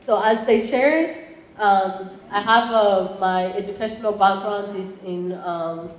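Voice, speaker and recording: A woman's voice: mid-range voice, measured pace. Woman with a Rwandan accent presenting. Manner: conversational, with frequent fillers and restarts. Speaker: entrepreneurship program chair. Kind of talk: conference presentation. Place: conference room. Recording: roomy, faint background ambience.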